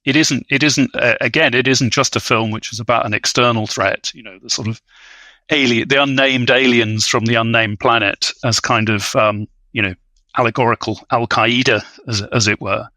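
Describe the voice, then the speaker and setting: A man talks about film as a thoughtful guest commentator. His voice is bright and forward, recorded very dry. He is scholarly and hesitant, often halting as he builds a point. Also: mid-range voice, steady tone, no room sound, rough recording.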